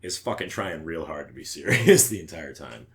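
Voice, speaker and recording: Male podcast host, low-pitched, casual and foul-mouthed, punches his opinions with emphatic slang. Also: big pitch swings, moderate pace, gravelly. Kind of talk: casual chat about movies.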